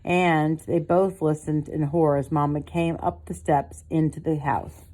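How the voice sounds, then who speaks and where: A woman's voice: low voice, measured pace. An older woman, a grandmother, reading a bedtime story expressively to a child and adding casual comments of her own. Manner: expressive, commenting as she reads.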